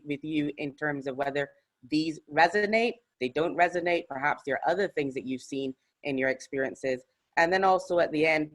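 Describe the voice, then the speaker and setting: This is a presenter leading an online workshop over a video call. The delivery is measured and structured, at an ordinary middle pitch, with occasional fillers between points. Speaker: webinar presenter.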